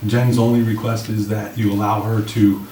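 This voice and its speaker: A man with a low, slightly raspy voice briefs a committee in a conversational, plain-spoken way. He is picked up from a distance in an echoey room, with noticeable noise behind him. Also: little pitch movement, normal speed, dark tone. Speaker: public works director. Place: indoor meeting room. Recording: roomy, distant mics with clear background noise.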